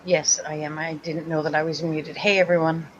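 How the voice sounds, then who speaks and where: A woman's voice: sits low, level tone, normal speed, lo-fi sound, a little hiss or hum. A woman joining a video call stream, blunt and making crude jokes. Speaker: panelist on a live stream. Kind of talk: casual group chat.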